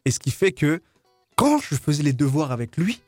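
A man's voice: fairly high pitch, big pitch swings, moderate pace, darkish tone, no room sound, clean studio sound, faint music behind. A man from Kinshasa who grew up in France, telling humorous anecdotes in casual conversation in a playful, jokey way. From Kinshasa, DR Congo; grew up in France. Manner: playful, jokey storyteller, often laughing.

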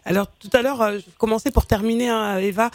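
A woman's voice: on the high side, steady tone, fast talker, no room sound, soft background music. A woman hosting a radio interview, giving brief friendly prompts and thanks. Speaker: radio host.

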